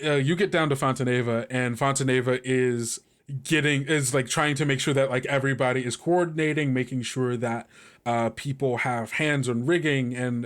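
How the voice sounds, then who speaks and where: A man narrating a tabletop game as game master, improvising vivid descriptions in halting, pieced-together phrases. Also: medium pitch.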